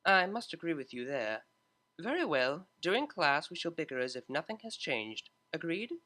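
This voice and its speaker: A prim young mare cellist, formal and exact in every word, voiced by the story's reader. The tone stays quiet and controlled, with a lively rise and fall under the polish. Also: medium pitch, normal speed. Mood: agreeable. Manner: formal, precise wording. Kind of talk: fan fiction reading, character voice.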